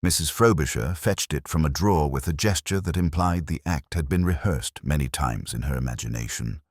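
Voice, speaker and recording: A man reading a scripted first-person detective story in a measured, literary, reflective manner. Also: very deep voice, dry recording, clean studio sound. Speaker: narrator.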